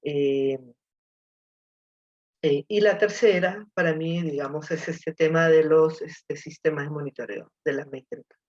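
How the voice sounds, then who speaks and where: A woman's voice: sits low, fairly even intonation, unhurried, a little mellow, no echo. Brief: female panelist, hesitant and thoughtful, dry muffled call sound. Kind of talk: webinar panel talk.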